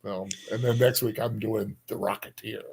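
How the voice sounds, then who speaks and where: A man co-hosting a casual discussion stream, relaxed and hesitant with joking asides. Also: lowish voice, moderate pace, creaky, background noise. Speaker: co-host.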